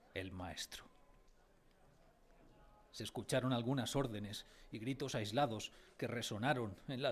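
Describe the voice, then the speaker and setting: A man's voice: mid-range voice, breathy edge. Actor as solemn, sorrowful male narrator. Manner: solemn, measured, literary. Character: grave male narrator recalling his village childhood with sorrow. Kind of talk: scripted dramatic narration. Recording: faint music behind. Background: faint music.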